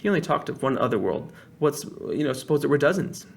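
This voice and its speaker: A man's voice: on the high side, very animated, fast talker, vocal fry, a little hiss or hum. Storybook young boy, eager and adventurous, slightly raised voice, read by a man.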